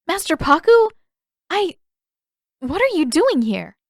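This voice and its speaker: Woman voicing defiant, flustered teenage girl, pitched up. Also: big pitch swings, slow pace, dry recording, clean studio sound, heavily acted. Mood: flustered, startled. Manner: indignant, emphatic.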